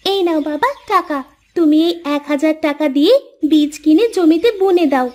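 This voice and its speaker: A woman's voice: high-pitched, normal speed, a little mellow, close to an everyday voice. A woman voicing a young village farmer's daughter in a cartoon, earnest, caring and sweetly persuasive. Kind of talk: Bengali moral-story cartoon voice acting.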